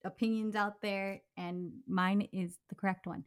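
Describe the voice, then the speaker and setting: A woman's voice: medium pitch, flat delivery, measured pace, clean tone. A woman from Southern California co-hosting a podcast, chatting casually and laying out topics with "um" and "like". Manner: chatty, outlining topics, fillers. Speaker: podcast co-host. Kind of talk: casual chat.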